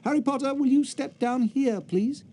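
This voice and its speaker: An actor playing a vain celebrity wizard teacher in a video game, speaking in a pompous, theatrical, self-satisfied way. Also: male voice, extremely high pitch, normal speed, dark tone, a little hiss or hum. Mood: showy instructing.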